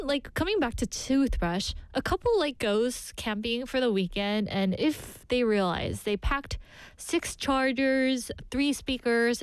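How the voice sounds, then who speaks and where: Bubbly woman radio host, slightly high voice, dry close mic, playful and giggly. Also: smooth, dry recording. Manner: chatty and playful, laughs often, asks listeners questions. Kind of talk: casual bilingual radio chat reading listener messages. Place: radio studio.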